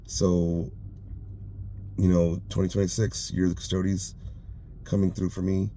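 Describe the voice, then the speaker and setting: A man hosting a hobby vlog, casual and rambling, hesitant with many ums and you-knows, his voice going hoarse. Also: sits low, flat delivery, unhurried, dark tone, slight background noise. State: losing his voice. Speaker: hobby channel host.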